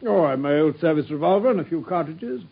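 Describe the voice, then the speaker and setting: An actor playing a loyal, earnest former army doctor in an old radio drama. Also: male voice, a little high, expressive, fast talker, gravelly. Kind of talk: 1950s BBC radio drama acting. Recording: lo-fi old radio recording, heard from off-mic.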